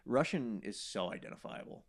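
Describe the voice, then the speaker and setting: Man, comedian podcast guest, casual banter, animated riffing, mid-pitched voice, dry recording. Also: moderate pace, no room sound.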